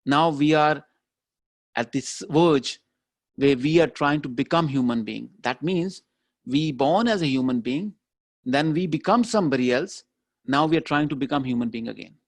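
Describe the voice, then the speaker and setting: A man's voice: on the high side, moderate pace, no room sound. Emphatic man giving a keynote. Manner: emphatic, repeats key phrases. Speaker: keynote speaker. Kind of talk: motivational speech.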